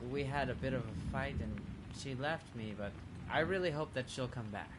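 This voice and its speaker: A man's voice: medium pitch, normal speed, very noisy, lightly acted. A man voicing a courteous, lovelorn musician in a video game, with a plaintive way of talking. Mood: hopeful, inviting. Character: lovelorn, polite male inn musician. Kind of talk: video game character dialogue.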